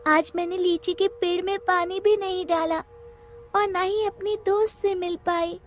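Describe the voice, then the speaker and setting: A woman's voice: extremely high pitch, steady tone, measured pace, soft background music, voice pushed up. A woman voicing a sweet, dutiful young village girl in a light, cheerful, girlish manner. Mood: meek.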